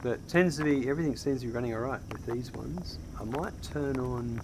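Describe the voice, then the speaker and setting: A man's voice: medium pitch, brisk, gravelly. A man with an Australian accent giving casual commentary while playing a factory game, thinking aloud and answering chat. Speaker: streamer. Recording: steady noise behind voice.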